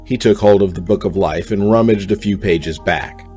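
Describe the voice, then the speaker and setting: A man's voice: low-pitched, normal speed, music in the background. A man reading scripted narration for a radio drama.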